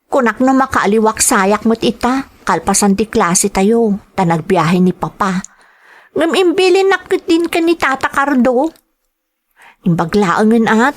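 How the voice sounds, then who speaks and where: A woman playing a sheltered, obedient young student from a rich family, with an Ilocano Filipino accent, in an audio drama. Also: a little high.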